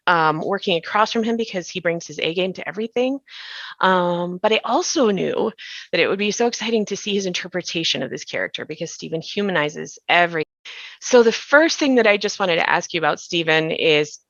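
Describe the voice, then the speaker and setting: A woman hosting a conversational podcast interview, speaking in an effusive, expressive way. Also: fairly low pitch, creaky. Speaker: interview host, actor. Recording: roomy echo, dull lo-fi sound.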